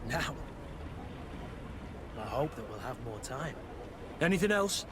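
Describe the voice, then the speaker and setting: An actor playing a loyal, tender guardian in a video game, measured and earnest. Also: male voice, medium pitch, very animated, background noise. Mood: tender, wistful.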